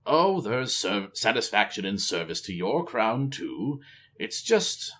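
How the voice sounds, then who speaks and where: A man voicing a reserved, guarded older male spymaster in a low, understated way. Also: sing-song, slow, a bit bright, exaggerated voice.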